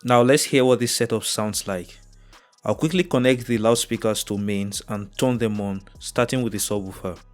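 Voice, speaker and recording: A young man presenting an instructional tutorial on audio gear in a measured, methodical way. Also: on the low side. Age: young adult. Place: indoors, dark studio room.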